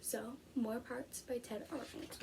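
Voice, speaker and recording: A woman's voice: on the high side, fairly even intonation, slow, gravelly, distant mic, very noisy. A woman explaining things informally as a teacher during a read-aloud lesson.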